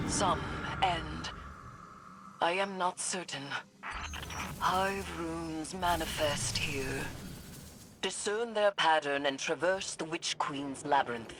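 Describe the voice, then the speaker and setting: An actress playing a grave, stern witch in a video game, solemn and portentous, with formal measured diction. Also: low voice, noisy background. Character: grave, stern witch woman steeped in dark magic. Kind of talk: scripted video game voice acting.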